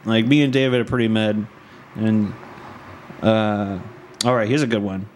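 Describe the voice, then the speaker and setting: A man's voice: lowish voice, fairly even intonation, normal speed, darkish tone, slight background noise, poor audio. A man casually chatting as a podcast host, joking, swearing freely and laughing often.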